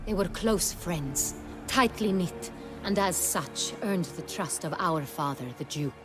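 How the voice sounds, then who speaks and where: An actress playing a commanding, regal young duchess in a video game, speaking formally and with authority. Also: female voice, on the low side, animated, a little slow. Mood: thoughtful, formal. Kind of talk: video game cutscene acting. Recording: music behind the voice.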